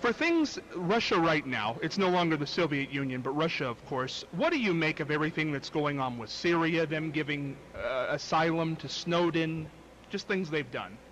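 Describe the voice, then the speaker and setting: A man hosting a talk show, asking an interview guest questions slowly and deliberately. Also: a little high, animated, fairly bright tone, slight background noise, low-quality recording. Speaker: host and interviewer.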